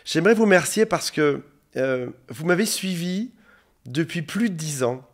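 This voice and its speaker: A man in his forties with a head cold and a slightly hoarse voice, speaking as a relationship coach in an earnest, direct monologue to the camera. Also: a little high, lively intonation, a little slow. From France. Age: 40s. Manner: earnest, direct, addresses viewer informally. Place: indoor studio under bright lights. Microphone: facing a camera.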